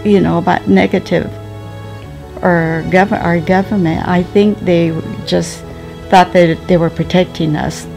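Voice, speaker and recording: A woman in her seventies whose first language is Japanese, recalling the past slowly and hesitantly, with many ums and restarts. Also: on the low side, fairly even intonation, creaky, a little mellow, faint music behind. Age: 70s. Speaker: interviewee. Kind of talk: slow reflective recollection. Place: sunlit living room at home.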